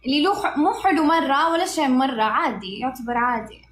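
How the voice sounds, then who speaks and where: A woman's voice: sits high, fast, fairly bright tone, slight background noise. A woman from Saudi Arabia's Hejaz with a Hejazi accent, chatting casually and playfully on a livestream. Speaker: livestream guest.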